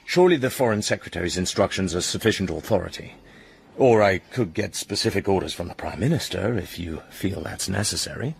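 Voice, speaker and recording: An actor playing a determined, suspicious British commander in a radio drama, husky and gravelly, polite but insistent. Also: male voice, low voice, expressive, fairly quick, heavily acted. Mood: firm, insistent.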